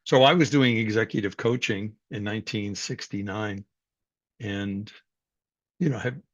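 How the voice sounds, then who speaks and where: An older man from New York City, speaking as a guest and telling his life story in a reflective, unhurried way with frequent fillers. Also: medium pitch, no echo. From New York City, USA. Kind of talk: personal life story, conversational talk. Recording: dull, dry sound.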